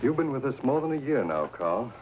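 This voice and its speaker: A man's voice: medium pitch, sing-song, a little fast, phone-quality sound, close to an everyday voice. An actor playing a polite, troubled employer and husband in a 1950s crime drama, formal and hesitant in his talk. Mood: formal, confiding. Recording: noisy old 1950s TV drama soundtrack.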